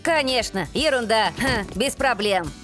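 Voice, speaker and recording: A wary young cartoon boy, thoughtful and sensible. The voice is slightly high and boyish, with faint music behind. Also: expressive, measured pace, a bit bright. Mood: confident. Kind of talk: animated cartoon dub.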